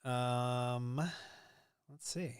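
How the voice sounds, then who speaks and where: A man's voice: medium pitch, little pitch movement, drawn-out words, clean tone, no echo, clean studio sound. A Midwestern man hosting a casual live stream, reading viewer comments and rambling easily with frequent ums and restarts. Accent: Midwestern American. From American Midwest. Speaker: livestream host.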